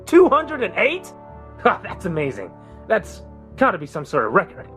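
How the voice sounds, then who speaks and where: A man's voice: high-pitched, wildly swooping pitch, normal speed, a bit bright, faint music behind, heavily acted. A man playing an upbeat, cocky young robot captain in a motion comic, breezy and teasing. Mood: pleased, boastful. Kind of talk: motion comic character acting.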